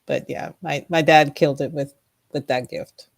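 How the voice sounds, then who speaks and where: Low-voiced woman telling stories on radio. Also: fairly even intonation, normal speed, vocal fry. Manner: rambling, laughs often, restarts sentences. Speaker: radio show co-host. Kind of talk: casual storytelling chat. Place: radio studio.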